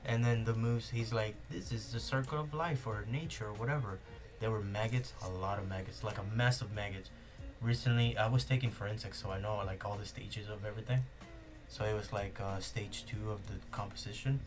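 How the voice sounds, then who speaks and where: A man's voice: lowish voice, brisk, over music. A young man, a native Spanish speaker with a Spanish accent, chatting casually and rambling with lots of fillers on a podcast. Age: young adult. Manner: rambling, many fillers and restarts. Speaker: podcast host. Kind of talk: casual chat.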